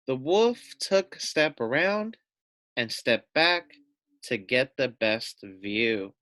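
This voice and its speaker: Slow, animated man reading aloud. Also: mid-range voice, no room sound. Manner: addresses listeners with questions and asides. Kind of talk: expressive children's story read-aloud.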